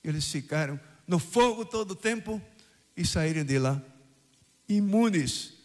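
An older Brazilian priest from southern Brazil, reading the gospel and preaching a homily at mass, with emphatic rising phrases and questions put to the congregation. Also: male voice, sits high, animated, a little slow. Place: church. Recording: radio broadcast of a mass in a reverberant church.

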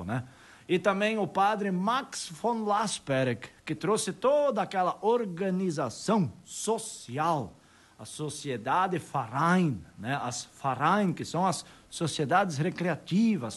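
A man from Brazil, casually telling local history and often ending phrases with "né?". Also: high voice. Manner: animated, frequent "né?" tag questions.

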